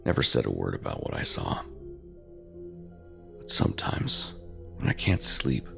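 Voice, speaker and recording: A man narrating a scripted first-person horror tale in short, halting phrases with an ominous, dramatic manner. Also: extremely low pitch, sing-song, vocal fry, over music.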